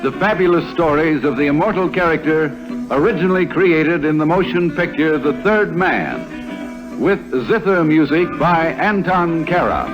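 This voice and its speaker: A man's voice: fairly high pitch, big pitch swings, normal speed, highs cut off. A man announcing an old radio drama in a dramatic, declamatory style. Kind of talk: 1950s radio announcing, scripted. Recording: old radio recording over zither music.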